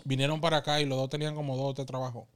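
A man in his thirties from the Dominican Republic with a Dominican accent, telling stories as a guest in a casual interview, animated and quick to laugh. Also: medium pitch, clean tone. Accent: Dominican Spanish. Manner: animated, laughs often, quotes others in lively voices.

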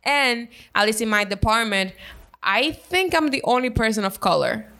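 A woman's voice: a little high, normal speed. Young woman. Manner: expressive, self-deprecating, non-native English. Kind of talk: casual chat.

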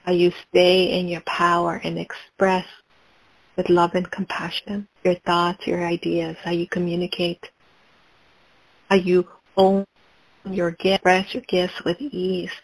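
A woman leading a guided meditation, speaking in slow, calm, repetitive prompts. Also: on the low side, poor audio.

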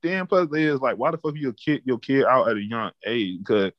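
A man's voice: medium pitch, lively intonation, normal speed, dry recording, muffled recording. A man in his twenties chatting casually, rambling and profane, often repeating words as he starts a thought. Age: 20s. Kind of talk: casual chat.

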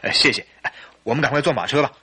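An actor playing a tense, impatient, hot-tempered husband in a radio drama, urgent in manner and very raspy. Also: male voice, medium pitch, very fast, bright, forceful tone, slight background noise, lightly acted. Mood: anxious, impatient.

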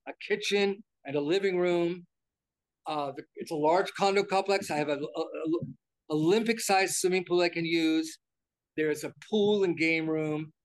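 An older American man with a high-pitched voice, chatting through an interview. He rambles, stumbling over repeated words and restarting his sentences. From United States. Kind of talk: casual interview talk.

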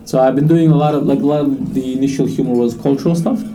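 Quick-talking romanian-born comedian guy, mellow voice, swears a lot and laughs at his own jokes. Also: mid-range voice, fairly even intonation, low-quality recording. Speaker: stand-up comedian. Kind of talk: casual conversational interview.

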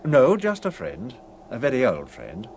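An actor playing a reserved, courteous middle-aged surgeon in a radio drama, formal and understated in manner. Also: male voice, medium pitch, huge pitch swings, unhurried, a little mellow, slight background noise. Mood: subdued, polite. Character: reserved, polite London brain surgeon, visiting stranger.

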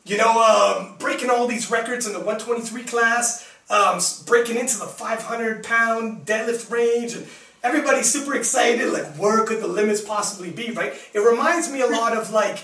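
A man's voice: very high voice, moderate pace, sharp tone, distant mic. A young man from Canada hosting a casual live interview show, chatty and laughing often, full of fillers. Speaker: host. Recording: roomy sound, mic set back.

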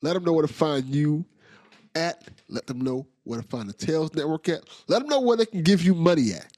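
A man's voice: a little high, expressive, fairly quick, darkish tone, no echo, clean studio sound. A man hosting a podcast, chatting in a hyped, playful way and swearing now and then. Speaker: podcast host. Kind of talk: casual chat.

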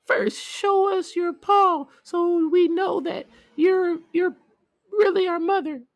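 Storybook goat kid: very high, childlike, defiant, insistent. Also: male voice, takes it slowly, heavily acted. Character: young goat kids, high-pitched, defiant little children.

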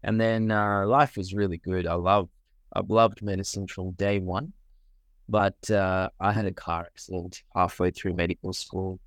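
A man with a very deep voice talks earnestly about his life, often pausing to gather his words. The sound is dry and close. He is from Sri Lanka. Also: little pitch movement, dry recording. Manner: earnest, with frequent pauses and fillers. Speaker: guest, medical doctor. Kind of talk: reflective interview answers.

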